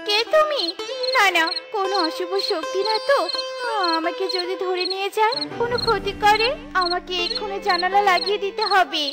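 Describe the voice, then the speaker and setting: A kind little girl bird in a children's cartoon, played by a woman, speaks in an extremely high, sweet voice. She sounds earnest and plaintive, with music behind her. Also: normal speed. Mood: frightened. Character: kind-hearted, earnest young female bird.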